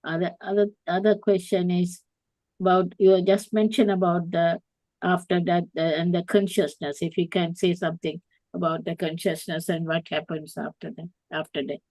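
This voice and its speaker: A woman's voice: fairly low pitch, little pitch movement, normal speed, a little mellow, dry recording, poor audio. A woman from Sri Lanka politely asking a question at an online meeting, with some hesitation. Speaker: meeting participant. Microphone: video call.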